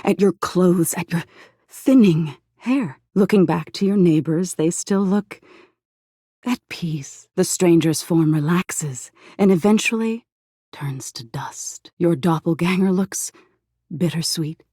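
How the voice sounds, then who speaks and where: A woman playing an eerie, softly menacing embodiment of existential dread in a video game, narrating slowly in a hushed, breathy voice that slips into whispers. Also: fairly low pitch, no room sound, clean studio sound. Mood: grim, haunting. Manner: slow hushed storytelling, lapsing into whispers.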